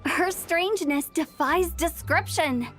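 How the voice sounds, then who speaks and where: A very high, girlish voice, sprightly and theatrical. She is a quirky, inquisitive young game character with a teasing way of talking, over faint music. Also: slow. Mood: puzzled, probing. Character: playful, quirky, inquisitive young girl funeral director. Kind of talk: anime video game voice acting.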